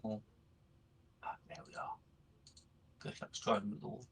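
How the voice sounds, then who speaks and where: A man's voice: on the low side, little pitch movement, moderate pace, a bit bright, slight background noise. A man playing a tabletop game, chatting casually and jokingly with the table.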